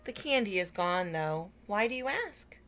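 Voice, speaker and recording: Lady reading as a gentle lonely guy in a kids book, very polite and mild. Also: medium pitch, big pitch swings, measured pace. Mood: polite, puzzled. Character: gentle, lonely, mild-mannered man in a storybook.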